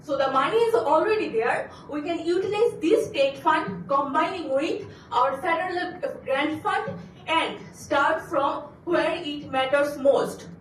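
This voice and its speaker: A woman from Bangladesh, a graduate student giving an earnest competition pitch, stumbling and restarting now and then. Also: measured pace, reverberant, recorded from a distance, a little hiss or hum, rough recording. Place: auditorium.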